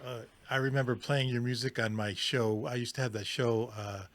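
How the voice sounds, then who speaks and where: A man's voice: mid-range voice, steady tone, dry recording. Male DJ host, eager and effusive, dry close sound. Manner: enthusiastic, gushing, halting with fillers. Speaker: radio DJ host. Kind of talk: casual interview. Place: California.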